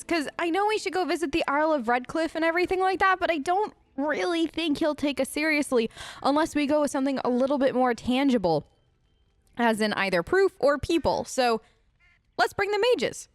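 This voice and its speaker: High-pitched woman, playful gaming commentary. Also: dry recording. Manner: conversational, playful, chatty. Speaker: gamer playing in character. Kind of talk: casual role-play let's play commentary.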